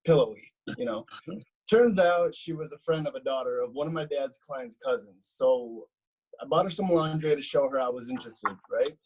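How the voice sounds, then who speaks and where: A man's voice: medium pitch, a little mellow, breathy edge, lightly acted, rough recording. An actor playing a spoiled, self-absorbed rich young man, dramatic and indignant, in a comedic script read. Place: recorded remotely at home on a video call.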